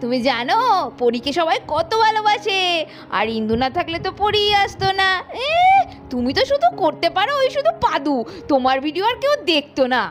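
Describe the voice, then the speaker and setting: A woman's voice: very high voice, big pitch swings, a little fast, music in the background. A woman voicing a doting young mother in a doll cartoon, fond and sing-song, chiding her husband. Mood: bickering. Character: doting young mother Indu, proud and bickering. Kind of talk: Bengali doll cartoon voice acting.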